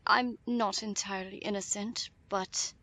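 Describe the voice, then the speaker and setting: A woman voicing a guarded, sorrowful female canine warrior in a fantasy audio story, speaking slowly and haltingly in a hushed voice. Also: medium pitch, steady tone, pitched above the performer's own voice.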